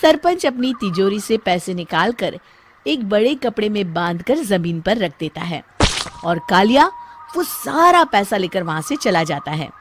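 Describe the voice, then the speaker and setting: Lively woman story narrator. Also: mid-range voice, unhurried, over music. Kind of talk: scripted children's story narration. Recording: clearly noisy background.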